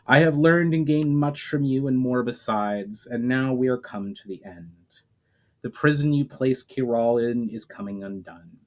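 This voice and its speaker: A weary but resolute male hero lays out his case with deliberate earnestness, as a man reads his lines. It comes from a read-aloud fantasy novel. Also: mid-range voice, darkish tone, close to an everyday voice.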